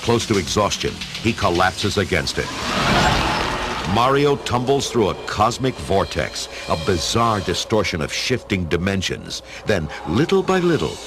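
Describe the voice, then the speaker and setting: A man's voice: sits low, background noise. A man giving theatrical scripted narration for a radio adventure story. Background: music.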